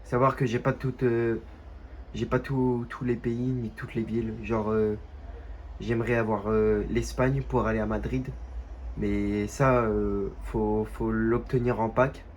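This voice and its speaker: A young man with a stuffy, nasal voice from a cold, chatting casually and haltingly over gameplay at home. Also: on the low side, flat delivery, a little mellow, slight background noise. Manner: halting, many fillers and restarts. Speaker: gaming youtuber.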